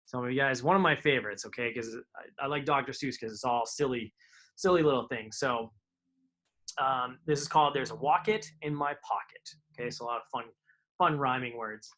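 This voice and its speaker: A man's voice: mid-range voice, fairly quick, a bit bright, rough recording. A man reading children's picture books aloud in a live storytime, with informal asides to his young listeners.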